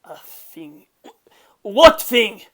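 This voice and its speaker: A woman's voice: mid-range voice, very animated, drawn-out words, a bit bright, pitched above the performer's own voice, exaggerated voice. Woman acting a male crime boss, arrogant, indignant, sneering, volatile storybook villain, swinging from mutters to outbursts. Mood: confused. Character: arrogant, hot-tempered male gangster boss, scheming villain.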